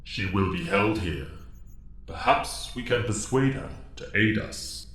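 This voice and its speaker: A man acts out a wise alien mentor from an audio drama, grave and commanding. The voice sits low and rings with heavy echo. Every phrase is formal, measured and solemn. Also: expressive, fairly bright tone. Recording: echoing, reverberant voice.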